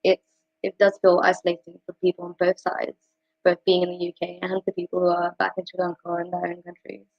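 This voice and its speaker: Muffled, even-toned young British woman. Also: on the low side, fast talker, dry recording. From United Kingdom. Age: 20s. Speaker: panellist. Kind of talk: reflective panel discussion, personal sharing. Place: dry room on a video call. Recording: muffled lo-fi video call audio.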